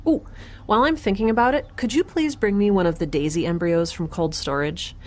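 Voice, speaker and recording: An actress playing a wry, chatty scientist recording an audio diary in space, informal and rambling. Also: female voice, mid-range voice, fairly quick, darkish tone, a little hiss or hum, natural voice. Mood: exasperated.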